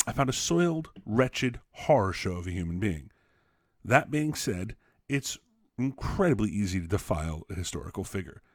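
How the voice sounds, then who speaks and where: A man reading an essay aloud as a teacher, expressively, with emphatic, deliberate phrasing. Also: fairly low pitch, dry recording, clean studio sound. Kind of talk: expressive read-aloud narration for students.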